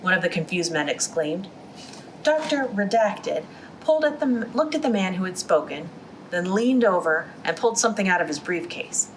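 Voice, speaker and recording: A woman's voice: fairly low pitch, slight background noise, poor audio. Woman reading a novel aloud. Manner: even reading, quick restarts after slips. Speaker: library storytime reader.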